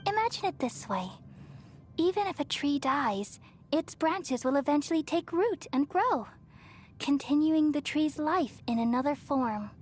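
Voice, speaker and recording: A woman playing a serene, wise goddess in a video game, speaking gently and in a measured, reassuring way. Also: high voice, lively intonation, a little hiss or hum. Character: serene, wise female deity, remnant consciousness of a dead ruler. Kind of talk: scripted video game voice acting. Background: background music.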